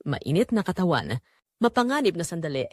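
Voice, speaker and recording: A woman's voice: on the low side, very animated, brisk, no echo, clean studio sound. A woman narrating a scripted audio drama in a dramatic, suspenseful way.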